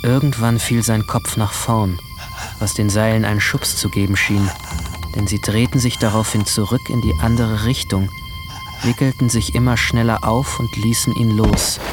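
A man playing a brooding, alienated seventeen-year-old who narrates his guilt in a hushed, introspective radio drama style. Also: fairly low pitch, steady tone, moderate pace, music in the background, natural voice. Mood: hushed, numb. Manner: hushed, introspective, at times whispering.

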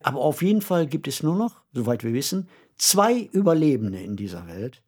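A man who is an author, talking reflectively in a radio discussion and growing animated when he makes a point. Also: medium pitch, moderate pace, slightly rough.

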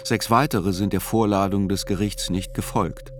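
A man acting as a writer who narrates a trial report in a radio play, measured, sober and precise. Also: on the low side, fairly even intonation, over music, lightly acted.

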